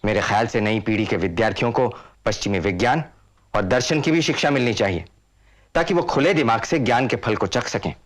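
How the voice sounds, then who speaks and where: An actor playing a passionate, indignant social reformer in a period drama, declaiming like an orator. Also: male voice, lowish voice, a little fast, natural voice. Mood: earnest oratory. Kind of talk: Hindi period drama acting.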